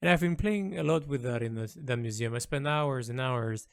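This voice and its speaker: A man speaking an unscripted, reflective audio log as an illustrator and storyteller, halting often to search for words and repeat himself. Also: mid-range voice, clean tone.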